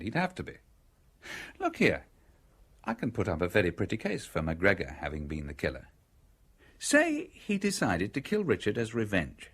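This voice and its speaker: Husky, wry, persuasive man. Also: lowish voice, a bit bright, full character voice. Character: cool, wry, persuasive man explaining away a killing. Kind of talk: radio drama acting.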